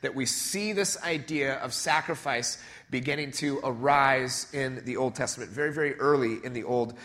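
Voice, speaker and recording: A man's voice: medium pitch, moderate pace, a bit bright. A man preaching a conversational sermon, nasal and stuffed up from a cold, with many fillers and restarts. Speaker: pastor.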